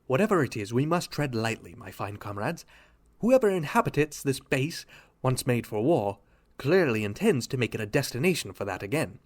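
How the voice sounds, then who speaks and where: A man voicing a calm, analytical male masked detective who lays out clues in a measured way. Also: medium pitch. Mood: grave.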